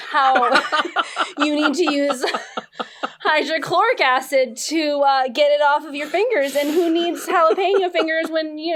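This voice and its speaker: A woman's voice: high-pitched, a bit bright. A woman chatting casually and comedically as a podcast panelist, in a rambling way.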